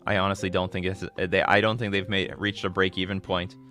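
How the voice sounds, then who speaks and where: A man in his thirties hosting a casual livestream, answering viewer questions in a rambling, excitable way, often restarting his sentences. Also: low voice, brisk, soft background music. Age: 30s. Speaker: livestream host.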